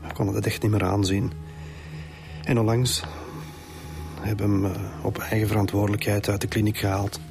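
A man's voice: fairly low pitch, level tone, moderate pace, creaky, pauses often. An actor playing a weary, defensive middle-aged Flemish father in a radio drama. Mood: grim, sorrowful. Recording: music under the dialogue.

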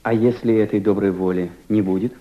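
Man playing husky, earnest young veteran. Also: fairly low pitch, steady tone, narrow, tinny audio, close to an everyday voice. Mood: doubting. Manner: earnest, questioning, halting. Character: earnest, doubting twenty-five-year-old lame Red Army veteran. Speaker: actor in an audio play. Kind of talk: Soviet-style radio drama acting.

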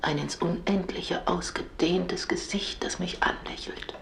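A man playing a dreamy recluse in a radio play, giving a hushed, intimate monologue in a creaky half-whisper. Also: sits high, fairly even intonation, measured pace, full character voice. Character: dreamy reclusive parent absorbed in an old radio.

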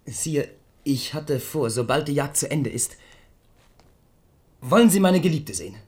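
Earnest, deferential young duke. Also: male voice, a little high, expressive, a little slow, a little mellow. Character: handsome thirty-year-old duke, devoted courtier in love. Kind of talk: German radio drama acting.

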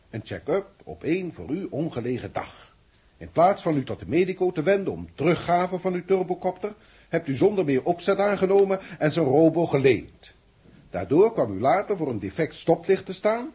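An officious, evasive bureaucrat in an old radio play. His fairly high voice is rough and husky, and it rises and falls sharply as he speaks in careful, pedantic sentences. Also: sing-song, normal speed, warm tone, full character voice. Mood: placating, formal. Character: evasive, formal male official fending off angry questioners. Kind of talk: 1960s Dutch radio drama acting.

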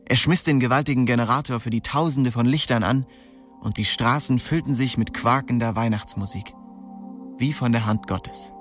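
Actor as a wry young storyteller over music. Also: male voice, medium pitch, moderate pace, natural voice. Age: young adult. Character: wry, streetwise young man telling his story. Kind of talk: radio drama first-person narration.

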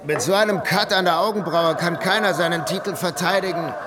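An actor playing a cynical male journalist at a bar in a radio drama, amid loud chatter. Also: high voice, fairly quick, close to an everyday voice. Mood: knowing, cynical. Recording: loud crowd chatter behind.

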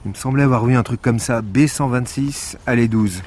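An actor playing a gruff, sarcastic police investigator in a French audio drama, deadpan and a little hesitant, with a French accent. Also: male voice, medium pitch, moderate pace, a little hiss or hum, natural voice. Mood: dry, guarded. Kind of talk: naturalistic audio drama acting.

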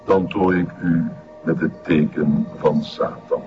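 Very deep-voiced solemn cult leader. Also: male voice, steady tone. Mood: solemn, commanding. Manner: ceremonial, pompous, measured. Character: solemn, commanding male satanic cult leader. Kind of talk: television crime drama acting. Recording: muffled lo-fi sound, music behind.

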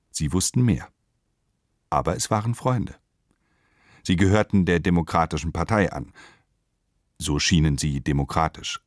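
A man's voice: low-pitched, a little mellow, no echo, clean studio sound. A man narrating a radio play in a scripted literary style, with clipped, declarative delivery.

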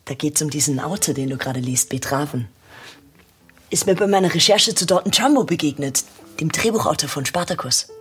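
An actress playing a blunt, down-to-earth woman with a husky voice in conversational radio drama dialogue. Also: sits low, lively intonation, a little fast, faint music behind, heavily acted. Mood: casual.

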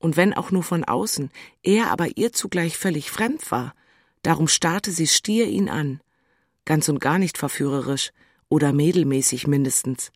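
An actress playing a lovestruck, high-strung city woman in a radio drama, musing and self-mocking with a breathy voice. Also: expressive, normal speed, no room sound, clean studio sound. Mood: dreamy, bewildered. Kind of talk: radio drama narration, intimate.

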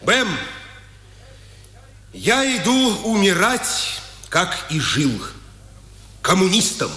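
An actor playing a proud, defiant condemned communist prisoner in declamatory 1950s Soviet radio drama style. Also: male voice, high-pitched, very animated, sharp tone, exaggerated voice. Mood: resolute. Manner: declamatory, emphatic, deliberate. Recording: echoey 1950s radio play recording.

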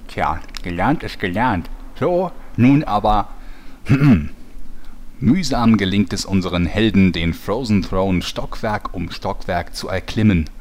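An actor playing a vain, washed-up, petulant storyteller with a pompous, self-important delivery in a parody audio play. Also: male voice, fairly low pitch, expressive, takes it slowly, a little mellow. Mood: dramatic narrating. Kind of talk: German parody audio play, dramatic narration.

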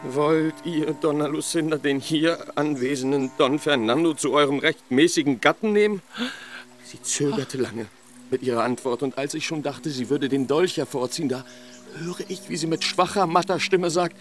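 A man's voice: on the high side, moderate pace, soft background music, lightly acted. Man acting a lovelorn, despairing young nobleman. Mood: anguished, bitter. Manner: ornate, impassioned storytelling. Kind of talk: German radio play acting.

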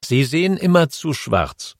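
A man voicing a male king who gently brushes off his police chief's warning with calm condescension. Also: fairly high pitch, unhurried, gravelly, no echo, clean studio sound, voice pushed up, exaggerated voice. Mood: mildly dismissive. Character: male king, mildly dismissive and assured.

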